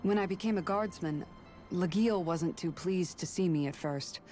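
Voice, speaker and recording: A woman voicing an earnest, idealistic young guardswoman in a video game, speaking sincerely and gently. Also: lowish voice, normal speed, lightly acted. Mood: fond, earnest. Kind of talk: scripted video game dialogue. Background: game music.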